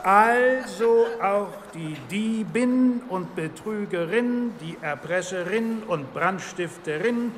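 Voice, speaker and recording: High-voiced, pedantic professor. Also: male voice. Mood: pedantic, stern. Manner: pedantic, measured lecturing. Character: stern pedantic male criminology professor. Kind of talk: radio drama lecture. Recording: reverberant lecture hall, faint noise.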